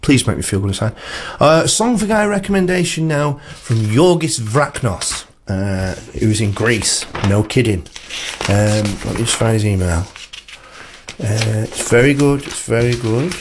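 A man's voice: mid-range voice, expressive, unhurried, noisy background. A man presenting a music show on the radio in a casual, chatty, rambling way, with playful self-deprecation.

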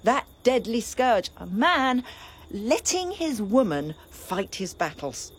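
An actress playing a grieving, vengeful young princess in a classical tragedy, giving an impassioned, bitter lament that sometimes drops to a whisper. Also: female voice, a little high, big pitch swings, slow pace, slight background noise. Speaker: stage actor. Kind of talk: classical tragedy stage acting.

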